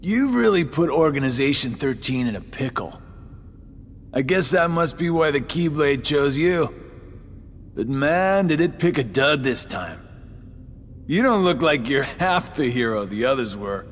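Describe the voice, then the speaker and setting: A man's voice: mid-range voice, animated, measured pace, a little hiss or hum, close to an everyday voice. A man voicing a mocking, cocky gunslinger villain in a video game cutscene, with a theatrical drawl. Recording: long hall reverb under loud music.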